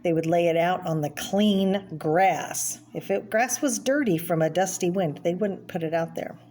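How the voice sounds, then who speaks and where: Lower-voiced woman reading aloud, noisy recording. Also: moderate pace, darkish tone. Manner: explanatory, pointing out pictures and asking the listener questions. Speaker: read-aloud storyteller. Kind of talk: reading a children's picture book aloud, with side comments. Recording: clear background noise.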